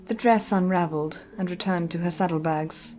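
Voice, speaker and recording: A woman reading a scripted, dramatic story as narrator, with measured and deliberate phrasing. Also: fairly low pitch. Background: soft music.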